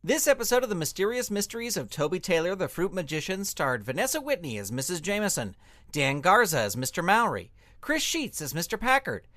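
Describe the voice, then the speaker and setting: A man's voice: sits high, big pitch swings, fairly quick, fairly bright tone, dry recording. A man reading scripted show credits as an announcer, in an upbeat style.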